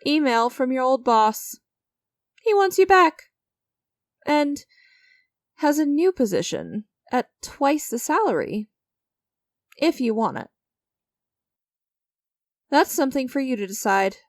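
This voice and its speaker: Woman acting as creepy mysterious lady dealmaker, highish voice, smug and teasing, really dry close sound, asmr roleplay. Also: sing-song, slow pace, long pauses, no echo, full character voice. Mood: smug, playful. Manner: teasing, theatrical, smugly coaxing. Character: enigmatic, creepy female stranger striking sinister deals. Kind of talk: dramatic ASMR roleplay audio.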